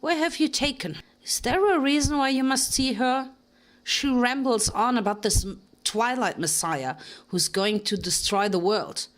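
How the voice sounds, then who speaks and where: A woman's voice: on the high side, moderate pace, lightly acted. A woman doing a nervous, formal mare warden. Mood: uneasy. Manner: formal and uneasy.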